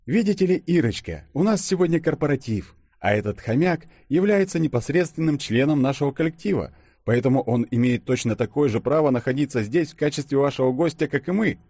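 A man's voice: mid-range voice, expressive, fairly quick, warm tone, husky, dry recording, voice pushed up. A man voicing a politely persuasive, take-charge man with a wheedling, mock-official manner in an audiobook.

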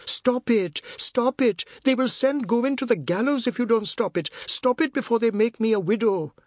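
A man's voice: extremely high pitch, expressive, moderate pace, vocal fry, husky, dry recording, clean studio sound, pitched above the performer's own voice, exaggerated voice. A man voicing a melodramatic wife who pleads urgently in alarm, in an audiobook story. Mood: frantic pleading.

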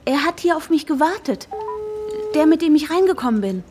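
An anxious, high-voiced young wife. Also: female voice, animated, a little fast. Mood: panicked. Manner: breathy, urgent, often dropping to a whisper. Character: anxious young wife searching for her vanished husband. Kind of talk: radio drama acting with intimate inner monologue. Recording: guitar music behind the voice. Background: guitar music.

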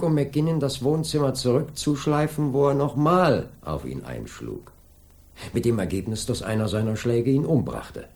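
An actor playing a calm, methodical police inspector in a radio crime drama, questioning in a measured, insistent way. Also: male voice, medium pitch. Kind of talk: 1980s German radio crime drama acting.

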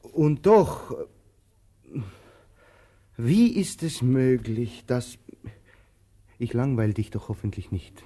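An actor playing a ponderous, talkative male tramp in a radio drama, soft-voiced, ruminative and declamatory. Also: medium pitch, big pitch swings, takes it slowly, long pauses. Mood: musing, insistent. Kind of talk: theatrical radio drama acting.

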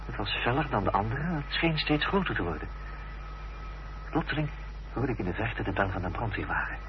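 Earnest storyteller, muffled old recording. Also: male voice, lowish voice, big pitch swings, fairly quick, a little hiss or hum. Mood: suspenseful. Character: earnest gentleman narrating his own suspenseful story. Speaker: narrator. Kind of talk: dramatic scripted narration in a radio play. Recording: dull, faintly humming old radio recording.

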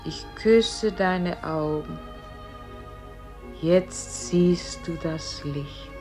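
An actress playing a solemn, gentle celestial voice that guides a soul, speaking in a measured, exalted, incantatory way in a radio play. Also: female voice, lowish voice, music in the background. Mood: gentle, grave. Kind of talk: solemn classic German radio play acting.

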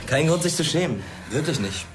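An actor playing a cocky, mocking teenage schoolboy in a naturalistic radio drama, sarcastic and slangy. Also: male voice, a little high, animated, brisk, heavy background noise, lightly acted. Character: cocky, mocking teenage schoolboy who provokes his classmates.

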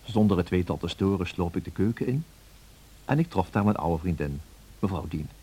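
An actor playing a refined gentleman who recounts his memories in a measured, formal radio play narration. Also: low voice, animated, a little hiss or hum. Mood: composed, reflective.

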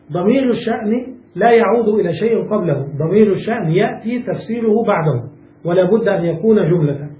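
A man's voice: high-pitched, warm tone, echoey room, far from the mic, slight background noise, poor audio. A man teaching a classical Arabic grammar lesson, explaining step by step and checking that students follow. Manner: patient, asks checking questions to students.

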